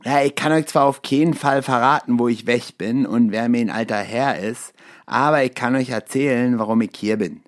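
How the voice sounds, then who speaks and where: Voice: mid-range voice, moderate pace, close to an everyday voice. A performer playing a cocky, fearless and simple young miller's son in an amateur audio drama, talking in a breezy, colloquial way. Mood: boastful, unbothered.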